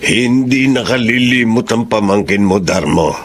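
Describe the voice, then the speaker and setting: An actor playing a weary, kindly elderly Filipino grandfather in a radio drama, with a gravelly voice. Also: on the low side, expressive, a little slow. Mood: gently urging.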